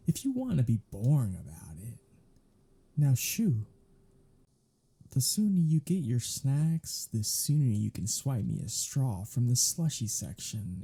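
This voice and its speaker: A man's voice: medium pitch, mellow, husky, full character voice. A man voicing a sardonic, flirtatious male vampire held captive on a road trip, in an ASMR audio drama. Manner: teasing, sardonic, flirtatious banter.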